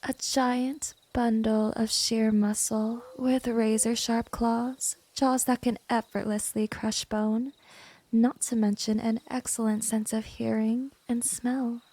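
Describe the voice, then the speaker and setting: A woman's voice: on the high side, little pitch movement, mellow, no room sound. A woman playing a teasing, domineering vampire in an ASMR roleplay, talking straight to the listener in a condescending, seductive way. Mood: slow, hypnotic. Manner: slow, condescending, seductive, breathy drops into whisper. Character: smooth, teasing, domineering female vampire, gently predatory.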